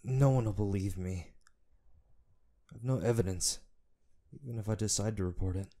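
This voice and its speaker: A man playing a weary, guilt-ridden fallout survivor in an animated film, speaking in a subdued, hesitant way. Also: fairly low pitch, normal speed. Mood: resigned.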